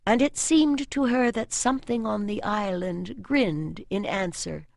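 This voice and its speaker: A woman reading scripted fantasy fiction as an audiobook narrator, with measured literary storytelling. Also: mid-range voice, no room sound, studio quality.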